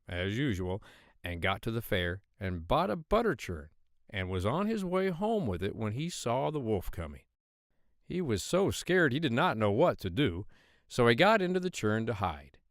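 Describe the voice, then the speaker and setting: A man reading a children's fairy tale aloud as an audiobook narrator, in an expressive storytelling manner. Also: medium pitch, dry recording, clean studio sound.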